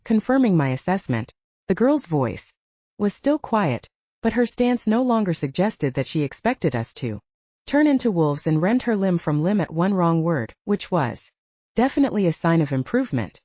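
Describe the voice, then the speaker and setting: Female audiobook narrator, soft dark tone with lively pitch movement, close dry sound. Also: dry recording, muffled recording. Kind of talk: scripted first-person fiction narration.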